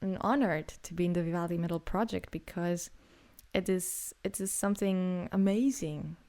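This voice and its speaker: A young adult woman from Greece, a singer and songwriter, answering interview questions in an unhurried way, with many fillers and restarts. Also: on the low side, warm tone.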